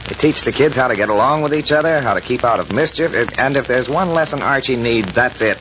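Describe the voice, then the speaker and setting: A man's voice: mid-range voice, brisk, natural voice. A man playing a hesitant but self-assured middle-aged father in a 1940s radio comedy. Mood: musing. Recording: dull, noisy 1940s radio recording.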